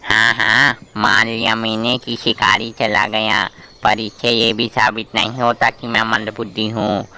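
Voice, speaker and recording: A man voicing an eager, insecure young lion in an animated fable, insistent and keen to prove himself. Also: fairly low pitch, a little slow, smooth, exaggerated voice. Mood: defensive, insistent. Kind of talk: animated moral-story acting.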